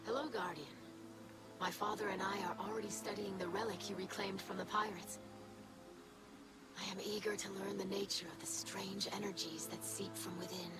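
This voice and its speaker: A woman voices a studious young alien in a video game. Her pitch is medium and her wording formal and precise. She comes across as polite and earnest over loud music. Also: level tone, normal speed, natural voice. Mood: earnest, grateful. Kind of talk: video game character acting.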